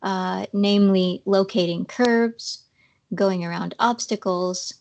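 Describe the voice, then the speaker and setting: Slow-talking woman, slightly scratchy voice. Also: mid-range voice, fairly even intonation, gravelly, darkish tone. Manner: explanatory, uses fillers. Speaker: podcast guest. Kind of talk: casual interview.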